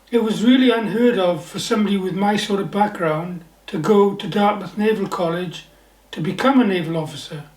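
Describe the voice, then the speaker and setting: An older man from the Welsh Valleys with a Welsh accent, an author telling stories from his past in a relaxed, wry, anecdotal way. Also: high-pitched. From South Wales Valleys. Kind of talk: personal storytelling to camera. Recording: echoey room.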